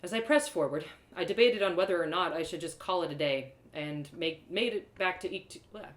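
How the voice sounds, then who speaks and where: Low-voiced woman storyteller in echoey room. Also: a little fast. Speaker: storyteller, author reading live. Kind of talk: expressive first-person fantasy story reading. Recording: roomy echo.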